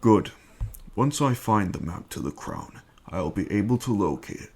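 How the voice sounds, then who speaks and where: A man acting in an amateur dramatic reading as a scheming, menacing villain bent on conquest, speaking in a measured, formal, commanding way. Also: fairly low pitch, animated, darkish tone, husky. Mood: menacing, imperious. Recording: music bed under the voice.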